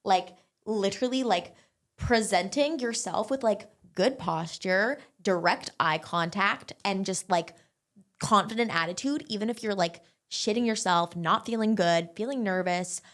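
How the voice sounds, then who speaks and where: A young woman, a barre instructor, talking casually as an interview guest, chatty and quick with lots of filler words. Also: medium pitch. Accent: English-speaking, non-French.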